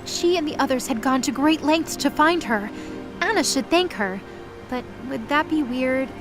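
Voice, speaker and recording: A woman's voice: high voice, expressive, normal speed, music in the background. A woman narrating a scripted audio drama in the present tense as its storyteller.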